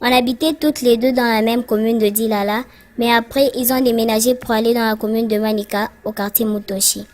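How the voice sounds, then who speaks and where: A woman's voice: fairly high pitch, fairly even intonation, fairly quick, a little mellow, lightly acted. A woman voicing a cheerful schoolgirl who narrates a cartoon. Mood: friendly, explanatory. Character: Sonia, cheerful schoolgirl narrator introducing her friends.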